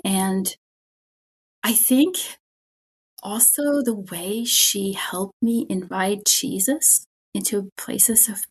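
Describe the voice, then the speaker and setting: A middle-aged woman with a German accent speaks slowly and gently, her voice soft and a little breathy. She talks in an earnest, thoughtful way, telling a personal story as a guest in a faith conversation. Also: medium pitch, low-quality recording. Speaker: spiritual director, guest.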